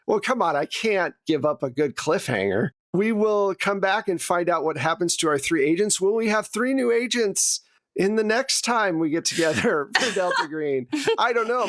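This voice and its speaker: A man's voice: sits high, a bit bright, no room sound, studio quality. A man hosting as game master, narrating a tabletop horror game in a conversational style. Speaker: game master and podcast host.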